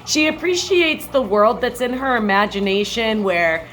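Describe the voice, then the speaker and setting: A woman reading a children's picture book aloud like a teacher, with measured, expressive phrasing and brief comments. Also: fairly high pitch, slight background noise, poor audio.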